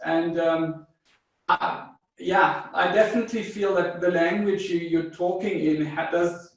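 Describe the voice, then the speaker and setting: South African man, slow, in an echoey room. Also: a little high, vocal fry. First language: German. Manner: measured, thoughtful, with frequent fillers and restarts. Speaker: guest psychologist. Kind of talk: interview answers. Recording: distant mic in a very echoey room.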